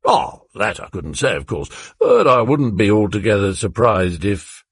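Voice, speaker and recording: A bluff, cheery zealot of a neighbour, put on by a man. He is chummy and eager, and his lively voice leaps about with a husky grain in a dry, close sound. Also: fairly low pitch, measured pace, no echo, heavily acted. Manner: cheery and eager, chummy "old boy" talk. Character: hearty, bluff, sweaty zealous male neighbour.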